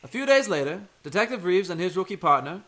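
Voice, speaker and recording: A man's voice: high-pitched, sing-song, fast, fairly bright tone, soft background music. Man narrating an old-time radio drama.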